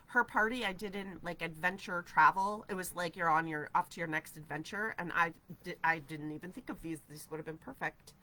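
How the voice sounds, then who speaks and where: A woman's voice: medium pitch, sharp tone, rough recording. A woman in her forties with a stuffy head cold, chatting upbeat on a craft livestream in her studio, answering viewer comments. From New Hampshire, USA. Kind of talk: casual craft livestream chat. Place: craft studio with shelves of ribbon. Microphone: camera at desk distance.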